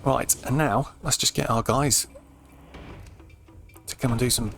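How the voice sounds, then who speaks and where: A British man giving laid-back, explanatory let's play commentary in an informal way, his voice slightly croaky. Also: medium pitch, steady tone, measured pace, fairly bright tone. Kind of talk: casual game commentary.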